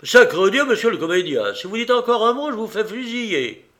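A man voicing a gruff, hot-tempered old ship's captain in an audiobook, blustering and giving commands in exclamations. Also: on the high side, fairly even intonation, moderate pace, a bit bright, pitched above the performer's own voice, close to an everyday voice. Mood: angry, threatening. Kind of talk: audiobook character voice.

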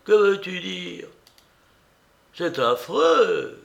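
An agitated old woman, acted out by a male reader, pitched well up with a gravelly edge. She is a blunt, loving storybook aunt who scolds and fusses, repeating her words with emphasis. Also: slow, full character voice. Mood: distraught, indignant. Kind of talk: dramatised audiobook reading.

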